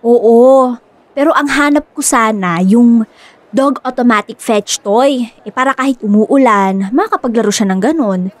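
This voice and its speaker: An actress plays an excitable young woman who dotes on her pet, in a radio drama. Her voice is high and gushing, chatty and stubborn, recorded close and dry. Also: a little slow, no echo, close to an everyday voice. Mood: gushing, excited. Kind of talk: Filipino radio drama acting.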